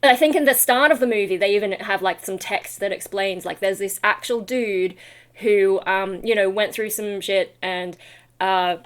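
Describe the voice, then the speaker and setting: A woman's voice: mid-range voice, normal speed. A tired-sounding woman chatting in a casual, rambling vlog style, scattered and self-deprecating. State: tired, not fully awake. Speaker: vlogger and metal vocalist.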